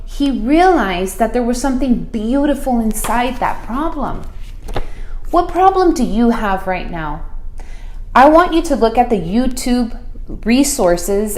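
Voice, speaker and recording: Lady principal doing a kids story read-aloud, takes her time, expressive, kinda echoey room. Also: on the high side, a little hiss or hum. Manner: expressive, deliberate, asks listeners questions. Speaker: school principal reading aloud to children. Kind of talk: children's picture-book read-aloud. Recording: roomy, echoey room sound.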